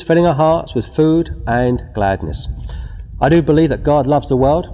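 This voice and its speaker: A man's voice: medium pitch, warm tone, big-room sound. A man preaching a sermon outdoors, reading scripture and pressing his warnings with emphasis. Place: outdoors before scenery.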